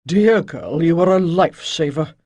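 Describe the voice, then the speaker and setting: A narrator voicing an elderly wise male master, speaking in a patient, gently lecturing way. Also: mid-range voice, animated, moderate pace, dry recording. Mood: kindly.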